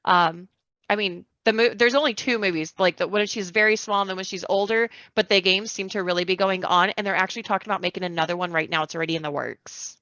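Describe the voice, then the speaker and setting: A woman's voice: medium pitch, quick pace, pushed and bright, no room sound. A woman chatting casually and informally as a guest on a podcast, with slightly dull audio.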